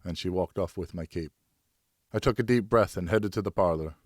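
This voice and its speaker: A man narrating a novel in the first person as a professional audiobook reader, measured and dramatic. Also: low voice, dry recording, clean studio sound.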